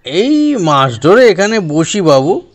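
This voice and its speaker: A man playing a humble, nervous umbrella repairman in an audio drama, speaking deferentially and haltingly. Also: high-pitched, big pitch swings, exaggerated voice. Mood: meek, explaining. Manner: hesitant, deferential, halting with drawn-out pauses. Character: humble, nervous street umbrella repairman recounting what he saw. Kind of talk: audio drama character acting. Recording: music behind the voice.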